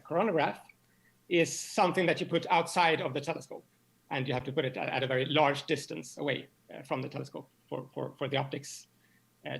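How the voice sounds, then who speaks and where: Higher-voiced man with a creaky edge. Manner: halting, often repeats words while explaining. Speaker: astronomy professor, interview guest. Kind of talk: explanatory interview talk.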